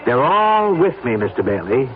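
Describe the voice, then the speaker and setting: An actor as insistent schemer, tinny radio sound. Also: male voice, medium pitch, big pitch swings, moderate pace, soft background music, a faint crowd, natural voice. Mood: eagerly persuasive. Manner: insistent, smooth-talking, confiding. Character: scheming, persuasive man plotting a staged fatal accident. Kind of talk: radio drama acting. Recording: lo-fi phone-like radio broadcast, background noise.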